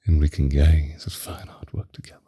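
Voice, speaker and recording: Man voicing a very deep, husky ancient vampire lover. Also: completely flat delivery, warm tone, no room sound, studio quality. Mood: courtly, doting. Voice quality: breathy, husky. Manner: slow, theatrical, formal old-fashioned diction with lingering pauses. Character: ancient male vampire lord, courtly, devoted, possessive lover. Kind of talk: romantic ASMR roleplay, whispered and intimate.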